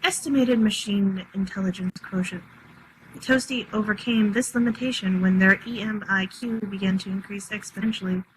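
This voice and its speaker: A woman's voice: mid-range voice, fairly even intonation, normal speed, a little hiss or hum, lightly acted, low-quality recording. A woman playing a literal-minded, unemotional artificial intelligence with formal, precise speech in a script reading. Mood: clinical. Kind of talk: dramatic live script reading.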